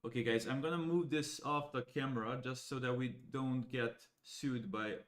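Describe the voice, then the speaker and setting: A young man from Serbia, a native Serbian speaker, thinking aloud as he walks viewers through code, halting and casual. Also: mid-range voice, steady tone. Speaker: AI educator. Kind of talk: live coding walkthrough.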